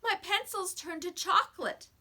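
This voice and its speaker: A woman's voice: very high-pitched, normal speed, bright, forceful tone, voice pushed up, exaggerated voice. A woman voicing an anxious, pleading young schoolboy in a children's storybook, with a plaintive, protesting manner. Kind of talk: children's storybook read-aloud.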